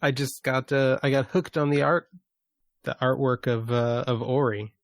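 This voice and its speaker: A man's voice: mid-range voice, fairly even intonation, normal speed, creaky, no room sound, studio quality. A man co-hosting a gaming podcast, chatting casually in an understated, matter-of-fact way. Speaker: podcast co-host.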